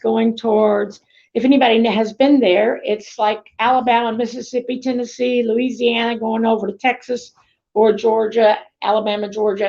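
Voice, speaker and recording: A woman's voice: a little high, creaky, darkish tone. A woman whose first language is Greek, from Florida, telling her life story in a chatty, rambling way on a video call. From United States (Florida). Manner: chatty, rambling, self-interrupting. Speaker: summit speaker. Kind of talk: personal life story talk. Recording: dull lo-fi video call audio.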